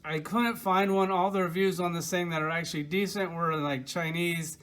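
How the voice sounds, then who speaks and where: A man's voice: high-pitched, steady tone, normal speed, fairly bright tone. A man giving a casual, opinionated product review in an informal, rambling way. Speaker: toy reviewer.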